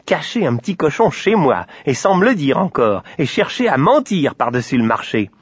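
A man voicing a gruff, impatient father who runs a café in a storybook tale, brusque and irritable. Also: on the high side, big pitch swings, normal speed, no echo, dull audio, voice pushed up. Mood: angry.

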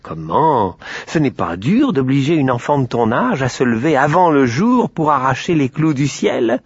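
A man's voice: mid-range voice, big pitch swings, moderate pace, husky, dry recording, highs cut off. A man voicing a whiny, greedy little male piglet who pleads and sulks. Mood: wheedling. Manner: whining, pleading, sulky.

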